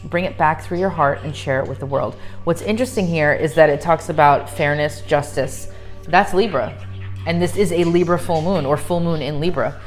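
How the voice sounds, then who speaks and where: A woman with a low, deep voice reads tarot card messages aloud, solemn while reading and chatty between cards. She talks into a microphone, with faint music playing underneath. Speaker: tarot reader.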